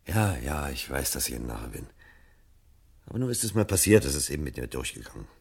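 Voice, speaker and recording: A troubled fireman husband in a 1970s radio drama. His voice is very deep and gravelly, swinging wildly between exasperated muttering and outbursts. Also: very animated, brisk, heavily acted. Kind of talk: 1970s German radio play acting.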